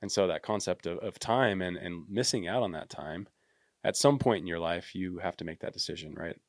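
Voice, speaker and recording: A man's voice: deep voice, a little fast, no echo, studio quality. A man hosting a podcast, talking conversationally and often ending points with tag questions. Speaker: podcast host. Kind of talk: casual interview talk.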